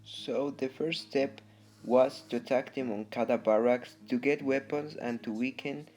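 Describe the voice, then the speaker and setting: Mellow guy w a reading history narration, guitar music behind him. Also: medium pitch, fairly even intonation, unhurried. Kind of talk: scripted narration of a student-style history newsreel. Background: guitar music under the voice.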